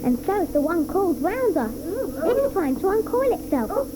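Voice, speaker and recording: A woman's voice: extremely high pitch, normal speed, heavy background noise. An eager wide-eyed young boy. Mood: excited. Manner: breathless, excited describing. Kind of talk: 1980s radio drama acting.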